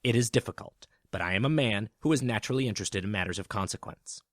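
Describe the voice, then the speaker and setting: A man's voice: lowish voice, fast, no room sound, clean studio sound, natural voice. A man playing a stressed, self-important middle-aged businessman in a storybook audio drama, brusque and pompous, with stammers and muttered sums. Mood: pompous, insistent. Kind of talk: theatrical storybook audio acting.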